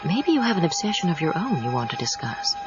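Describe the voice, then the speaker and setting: An actress playing a poised, teasing psychiatrist in an audio drama, with a knowing, probing manner. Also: female voice, on the low side, big pitch swings, fast. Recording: dull cassette audio with music.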